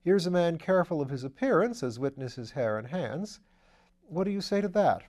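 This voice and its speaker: A lively upper-class gentleman detective, as a male reader puts him on, pitched a bit above a mellow natural voice. He talks in brisk, eager bursts of posh slang. It is an audiobook character voice. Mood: breezy. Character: breezy aristocratic English gentleman sleuth, man.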